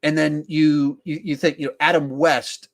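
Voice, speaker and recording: A man's voice: fairly high pitch, flat delivery, normal speed, vocal fry. Man hosting a casual film podcast. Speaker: podcast host. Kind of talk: casual live film discussion, reading viewer questions.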